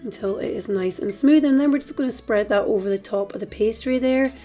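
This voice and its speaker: A Scottish woman hosting a cooking tutorial in a chatty, relaxed way, her voice a little hoarse from a dry throat. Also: a little high, a little fast, soft background music. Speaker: cooking channel host. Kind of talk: casual cooking tutorial voice-over.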